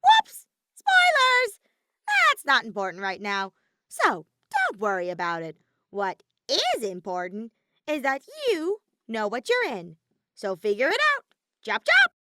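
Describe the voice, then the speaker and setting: A woman's voice: a little high, wildly swooping pitch, slow pace, bright, forceful tone, no echo, studio quality, pitched above the performer's own voice, full character voice. A woman voicing a mischievous, flippant robotic bear villain in a dramatic audiobook reading, theatrical, grumbling and cartoonish. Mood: flustered, bossy. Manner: matter-of-fact, theatrical, grumbling.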